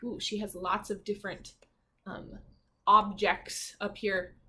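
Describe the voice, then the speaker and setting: A woman teacher reading a children's storybook aloud expressively, pausing to comment and ask questions. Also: mid-range voice, flat delivery, sharp tone.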